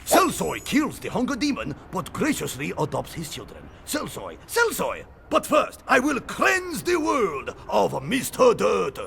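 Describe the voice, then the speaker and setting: A man voicing an excitable mascot spirit guide in a video game, exclaiming ceremonial lines and slogans in broken English. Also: high-pitched, unhurried, vocal fry, hardly any pauses, heavily acted. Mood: proud, dramatic. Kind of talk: parody Japanese video game cartoon. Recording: noisy game audio.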